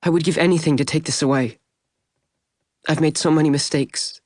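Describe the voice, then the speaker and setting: A man voicing a tender, grieving female vampire who talks softly and pleads as she reassures someone. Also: on the high side, normal speed, no echo, clean studio sound, pitched above the performer's own voice, close to an everyday voice. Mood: remorseful. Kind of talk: audiobook character voice.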